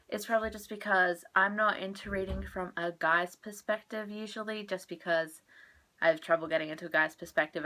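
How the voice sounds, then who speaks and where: A woman's voice: lowish voice, pushed and bright. A young woman chatting casually about books she read, a little stuffed up from sneezing, rambling and correcting herself. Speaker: book vlogger. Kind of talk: casual book wrap-up chat.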